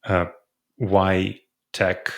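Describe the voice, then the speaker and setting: A man's voice: sits low, completely flat delivery, drawn-out words, vocal fry. A man hosting a tech podcast, asking questions in a friendly, loose way with fillers. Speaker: podcast host. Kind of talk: casual interview chat.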